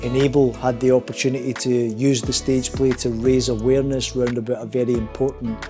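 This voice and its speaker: A man giving a documentary interview about a stage project, hesitant and pausing mid-sentence. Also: mid-range voice, level tone. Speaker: project member discussing a stage play. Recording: faint guitar music bed. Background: soft guitar music.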